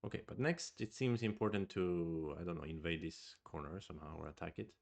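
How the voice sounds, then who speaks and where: A man's voice: deep voice, brisk, gravelly, darkish tone. A man with a Swedish accent streams his go game, thinking aloud in a hesitant, musing way. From Sweden. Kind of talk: live-streamed game commentary.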